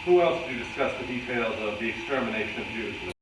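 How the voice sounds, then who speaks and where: A man's voice: mid-range voice, lively intonation, fast, lightly acted. A man playing a direct, businesslike war crimes interrogator in a staged reading. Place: hall, recorded from a distance. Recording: very echoey hall, far mic, loud noise.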